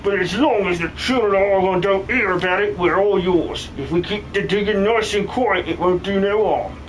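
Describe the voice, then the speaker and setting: A man voicing an excitable, colloquial miner villager in an improvised video game fan dub. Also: sits high, moderate pace, a little hiss or hum, lightly acted, poor audio. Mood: solemn, conflicted. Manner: colloquial, exclamatory.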